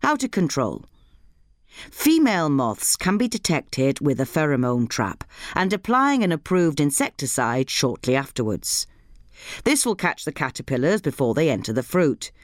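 A woman's voice: deep voice, dry recording, studio quality. A woman reading an informational guide as scripted narration. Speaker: podcast reader of a gardening guide.

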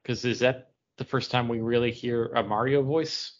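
A man's voice: mid-range voice, steady tone, normal speed, lo-fi sound. A man chatting casually as a panelist on a podcast. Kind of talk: casual chat.

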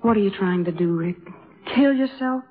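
A woman's voice: medium pitch, moderate pace, slightly rough, lightly acted. Actress as an intense woman, tinny radio melodrama. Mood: anxious pleading. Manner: dramatic, short urgent phrases. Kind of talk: 1950s radio drama acting. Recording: tinny 1950s radio recording.

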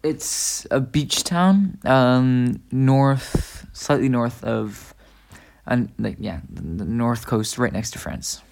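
A young man from Spain answering interview questions in a casual, joking way, often pausing with fillers. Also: medium pitch, creaky, a little mellow, slight background noise. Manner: laid-back, joking, hesitant with fillers. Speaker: guest interviewee. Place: indoors at a family home.

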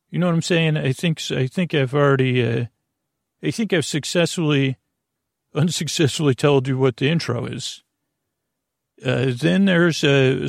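Male bedtime podcast host, gravelly fry, dry close sound, rambling and self-interrupting delivery. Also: medium pitch, no room sound. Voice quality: creaky, gravelly. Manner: meandering, self-interrupting, trailing off mid-thought.

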